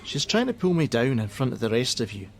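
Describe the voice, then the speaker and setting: A man acting a gruff, jaded helpline worker. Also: medium pitch, sing-song, fast, very noisy. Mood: defiant. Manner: blunt, sardonic, defensive. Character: jaded, gruff, hot-tempered male crisis-line worker and father. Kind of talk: naturalistic radio play acting.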